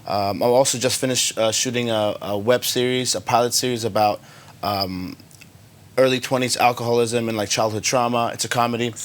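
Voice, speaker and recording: A man who grew up in Germany, a filmmaker guest answering interview questions in a relaxed, hesitant way with many fillers. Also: mid-range voice, brisk.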